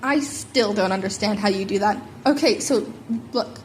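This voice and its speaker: A woman voicing an excitable, sweet, rambling schoolboy in an amateur story reading. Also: mid-range voice, normal speed, a little hiss or hum, close to an everyday voice. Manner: eager, rambling.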